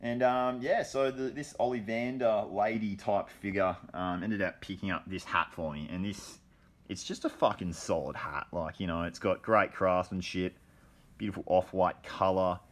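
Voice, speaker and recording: A man's voice: low voice, fairly even intonation, moderate pace. A man telling a casual, rambling personal anecdote with slangy swearing and dramatic repetition. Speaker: storyteller.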